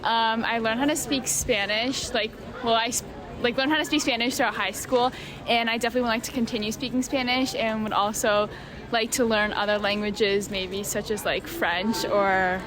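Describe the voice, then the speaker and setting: A woman's voice: a little high, steady tone, normal speed, over music. A woman answering casually as an interviewee, hesitant with many fillers. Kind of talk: casual chat.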